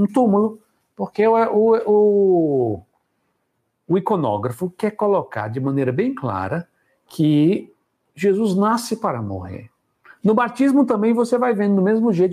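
A man gives a Bible lecture, his voice high and husky. He explains in a relaxed, conversational way, checking in with his listeners through frequent tag questions.

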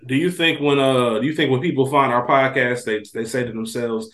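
A man's voice: mid-range voice, steady tone, fairly quick, a bit bright. A man co-hosting a podcast, talking casually and emphatically with swearing and acted-out quotes. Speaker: podcast co-host. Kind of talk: casual TV discussion. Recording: roomy echo on the voice.

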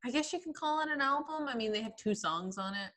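A young woman co-hosting a podcast in casual chat, with a flat, fairly monotone way of talking. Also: fairly high pitch, quick pace. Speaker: podcast co-host.

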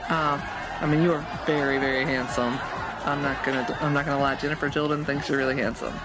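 A man's voice: mid-range voice, flat delivery, fairly quick, natural voice, low-quality recording. A man playing a friendly, awkward matchmaking sidekick in an improvised audio comedy, hesitant and colloquial. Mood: awkward, flustered. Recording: voice amid very loud music, outdoor crowd.

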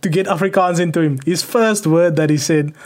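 Casting: conversational man from South Africa, voice a touch high, relaxed discussion lead. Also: a little fast. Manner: conversational, tag questions, many fillers. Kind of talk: casual chat.

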